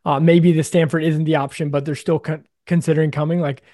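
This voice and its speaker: A man's voice: on the high side, level tone, a little fast, dark tone, no echo, studio quality. A man hosting a startup podcast, upbeat and casual, sometimes hesitant as he frames his questions. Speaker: podcast host. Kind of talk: podcast interviewing and intro narration.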